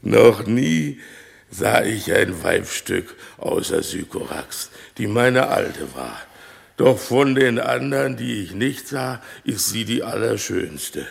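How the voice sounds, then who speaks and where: An actor playing a crude, eager, servile male monster in a classic radio play, speaking gravelly and drawn out in a fawning way. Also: fairly low pitch, expressive, takes it slowly, exaggerated voice. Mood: lustful, fawning.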